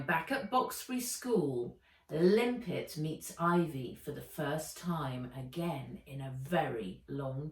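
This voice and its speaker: A woman narrates a children's book as its author. Her reading is deliberate and very animated in pitch, at a slow pace. The sound is echoey, as if a little way from the microphone. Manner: expressive, deliberate storytelling. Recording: roomy echo, distant mic.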